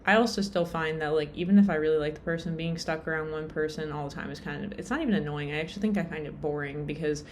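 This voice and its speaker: Low-voiced woman rambling casually. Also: quick pace, hardly any pauses, a little hiss or hum. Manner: rambling, lots of "like" and "um", self-deprecating. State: tired. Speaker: vlogger.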